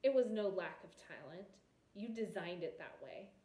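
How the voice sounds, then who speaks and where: A woman's voice: lowish voice, expressive, moderate pace, mellow, close to an everyday voice. A woman voicing a proud, wounded young princess in a storybook, with clipped, accusing delivery, in a roomy space. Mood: hurt, accusing.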